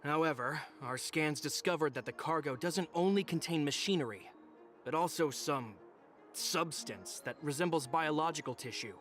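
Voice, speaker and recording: Man playing a testy craftsman, slightly high voice. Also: normal speed, a bit bright, soft background music, lightly acted. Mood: firm, explanatory. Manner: firm, exasperated, explanatory. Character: testy, by-the-book male craftsman inspecting cargo. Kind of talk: video game voice acting.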